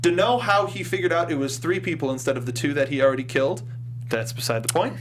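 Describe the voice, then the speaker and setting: Slightly high-voiced man hosting amid hum. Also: quick pace. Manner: animated, acts out mocking quotes. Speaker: talk show host. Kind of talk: casual film review discussion. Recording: noisy room with electrical hum.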